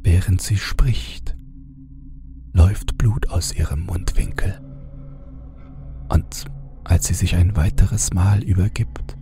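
A man narrating a horror audiobook in a deliberate, theatrical way, at times dropping to a hushed tone. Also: deep voice. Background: music under the voice.

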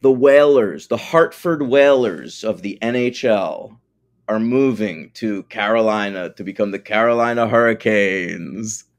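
Profane, animated podcast co-host man. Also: lowish voice, unhurried. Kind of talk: casual podcast chat.

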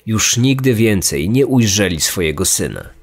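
A man delivering serious, measured scripted true-crime narration as a podcast host. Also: fairly low pitch, big pitch swings, husky. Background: music.

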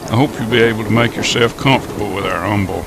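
Folksy low creaky-voiced man. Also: fast talker. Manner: folksy, hokey. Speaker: radio show host playing a train conductor. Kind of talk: folksy old time radio comedy skit. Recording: noisy radio skit with rumbling train ambience.

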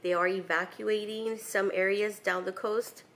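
A middle-aged woman from South Texas chatting casually to a handheld camera in her home kitchen, relaxed and full of ums and likes. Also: mid-range voice, unhurried, a crowd in the background. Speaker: vlogger. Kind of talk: casual home vlog chat. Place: home living room and kitchen, indoors. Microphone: handheld camera close to face.

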